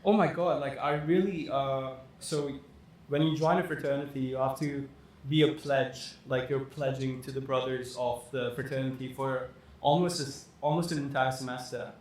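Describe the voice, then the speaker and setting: A man's voice: medium pitch, steady tone, normal speed, a little mellow. A young man who grew up in Dubai, talking casually as a guest, rambling with lots of fillers. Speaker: fashion designer guest. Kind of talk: casual interview talk. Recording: echoey room with faint noise.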